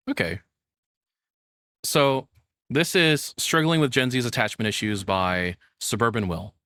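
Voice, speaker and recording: A man's voice: mid-range voice, fairly bright tone, dry recording, studio quality. A young man doing casual reaction commentary as a streamer, rambling as he thinks aloud. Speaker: VTuber streamer reacting to a video.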